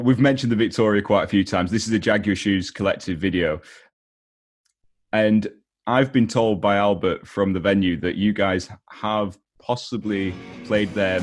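A young man hosting a casual interview over a video call, asking relaxed conversational questions. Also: on the low side. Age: young adult. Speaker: interviewer, host. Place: indoor home room, video call.

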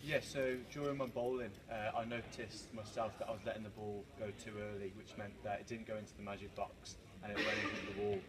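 Flat low-voiced young man, far off. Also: brisk, few pauses. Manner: hesitant, with many "uh" and "um". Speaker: student doing self-assessment. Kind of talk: self-assessment. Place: indoor sports hall. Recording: distant camera audio, clear hall noise.

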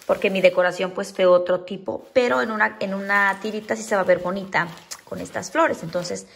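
Home-decor vlogger, woman, relaxed and chatty, lots of little fillers, slight fry in the voice. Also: medium pitch, fairly quick. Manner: chatty, full of diminutives and fillers. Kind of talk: casual how-to chat. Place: at home indoors.

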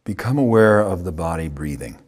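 A man with a husky voice leading a guided meditation as a yoga teacher, speaking calmly in short, unhurried phrases with long pauses. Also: deep voice.

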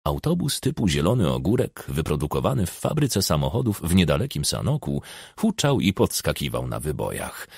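Male audiobook narrator, gravelly and dry-sounding, hugely varied pitch, expressive storytelling. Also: fairly quick, no room sound.

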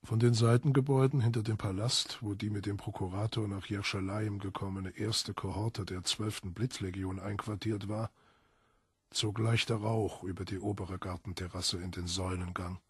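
Deep, very husky male storyteller. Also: steady tone, normal speed, no room sound, clean studio sound. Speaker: audiobook narrator. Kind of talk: dramatic scripted literary narration.

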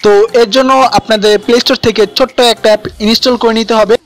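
A man's voice: very high-pitched, fairly even intonation, very fast. A man narrating an instructional app tutorial as its host. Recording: voice-over with music behind.

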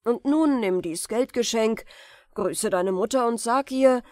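A woman voicing a scheming male sorcerer who poses as a tender, generous uncle, with an ingratiating, drawn-out sentimental delivery in a fairy tale. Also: fairly high pitch, a little mellow, dry recording, studio quality, pitched above the performer's own voice, natural voice. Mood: feigned tender sorrow.

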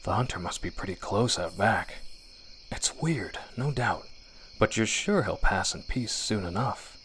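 A man's voice: fairly low pitch, lively intonation, unhurried, a bit bright, slight background noise. A man narrating a scripted horror story in a dramatic, suspenseful radio drama manner. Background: music.

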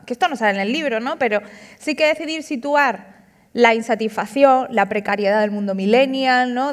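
A woman in her thirties, an author, speaking casually and animatedly at an onstage book talk, tossing in frequent tag questions. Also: a little high. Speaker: author and comic artist. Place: large auditorium stage. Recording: long hall reverb.

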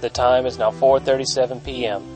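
A man acting a supposedly automated, ominous PA announcer in an audio comedy, deadpan and officious. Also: mid-range voice, brisk, faint music behind, close to an everyday voice.

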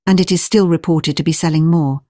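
Woman doing scripted explainer narration, lowish voice, pretty dry recording no room echo. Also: fairly even intonation, fairly quick, mellow.

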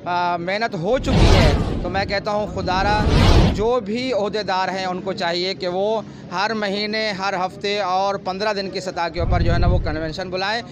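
A man's voice: sits high, fairly even intonation, normal speed. A middle-aged man, a party official, answering earnestly in an interview on a handheld microphone. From Pakistan. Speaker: party clerics' wing president being interviewed. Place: busy tented hall, handheld microphone. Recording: noisy event, handheld news microphone.

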